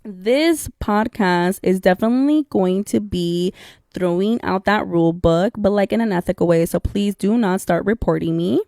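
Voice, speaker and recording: A woman's voice: medium pitch, normal speed, dark tone, no room sound, studio quality. A woman whose first language was Spanish, co-hosting a podcast in a candid, chatty way and calling listeners "y'all". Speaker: podcast co-host. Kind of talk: casual solo podcast talk.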